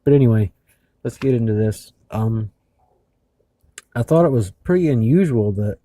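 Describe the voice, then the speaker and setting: A man hosting a video in casual narration, speaking haltingly with labored speech after mouth surgery. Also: mid-range voice, lively intonation, mellow, dry recording. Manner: halting, hesitant. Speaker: video host.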